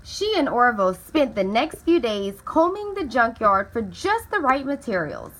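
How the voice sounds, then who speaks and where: A woman's voice: on the high side, big pitch swings, normal speed, fairly bright tone. A woman reading a children's picture book aloud expressively, drawing out key words for dramatic effect. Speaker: storybook reader.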